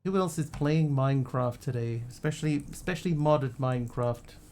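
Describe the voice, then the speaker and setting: Guy who grew up in australia, streamer just chatting, lots of ums and restarts, mid pitch. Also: normal speed, darkish tone. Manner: rambling, hesitant with many ums and restarts. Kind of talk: casual live-stream chat.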